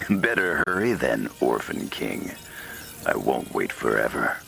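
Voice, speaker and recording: An actor playing a sneering mercenary villain in a video game, drawling with mocking courtesy and smug menace. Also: male voice, extremely low pitch, huge pitch swings, takes it slowly, gravelly, pushed and bright, faint music behind, full character voice. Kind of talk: theatrical video game acting.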